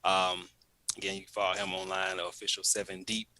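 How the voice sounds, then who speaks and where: A man hosting a show, chatting loosely with many pauses and fillers. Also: deep voice, level tone, bright, forceful tone, no echo. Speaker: show host. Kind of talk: casual interview and show links. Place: in Louisiana.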